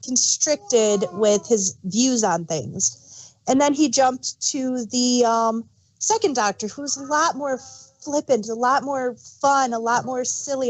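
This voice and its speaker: A woman's voice: on the high side, poor audio. A woman co-hosting a casual fan discussion, rambling and searching for words as she gives emphatic examples. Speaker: podcast co-host.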